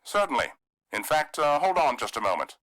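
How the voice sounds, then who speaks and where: An actor playing a polite but guarded museum collector in a video game, courteous and evasive. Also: male voice, fairly quick. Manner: polite, evasive, lively pitch.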